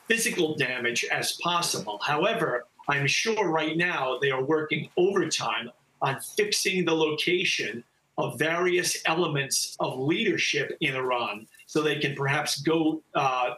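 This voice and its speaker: Male ex-intelligence guest, slightly high, pointed tone, echoey remote. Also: bright, forceful tone. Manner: measured, analytical, with hesitations. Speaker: former intelligence officer, guest. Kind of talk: live news interview.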